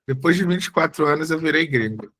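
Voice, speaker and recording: A man's voice: on the high side, lively intonation, fairly quick, a bit bright. A man in his forties from Brazil, giving a casual, chatty talk as a guest researcher over a video call, often restarting his sentences. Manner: chatty, self-correcting, many fillers. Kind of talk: casual live talk before a slide presentation.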